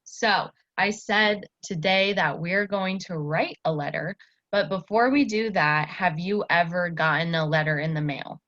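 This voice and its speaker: A woman teacher speaks at a slow pace in a lowish voice, guiding a pupil through a reading lesson. She explains things simply and with encouragement. The sound is slightly dull. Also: a bit bright, dry recording. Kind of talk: instructional talk and story read-aloud.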